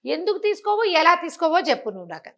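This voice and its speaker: A woman's voice: very high-pitched, big pitch swings, quick pace, pushed and bright, voice pushed up, exaggerated voice. A woman voicing an irritable village housewife in a moral tale, indignant and demanding in manner.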